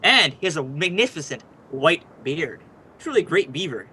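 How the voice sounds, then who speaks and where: A man's voice: a little high, sing-song, normal speed, pushed and bright, breathy edge, exaggerated voice. A man acting a brash, wisecracking ghost hunter who hosts a radio program, in a chatty, showy old-time radio drama style.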